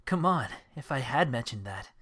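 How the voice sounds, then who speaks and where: A woman's voice: a bass voice, expressive, normal speed, a bit bright, husky, full character voice. A woman voicing a naive, lovestruck young male student, hesitant and earnest, in an anime-style fan dub. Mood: sheepish. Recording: music behind the voice.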